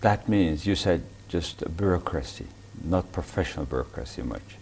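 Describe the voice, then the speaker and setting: A man hosting an interview, asking long questions in halting, hesitant phrasing. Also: deep voice, lively intonation, vocal fry, darkish tone, a little hiss or hum. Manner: halting, hesitant phrasing, frequent back-channel murmurs. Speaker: interviewer host.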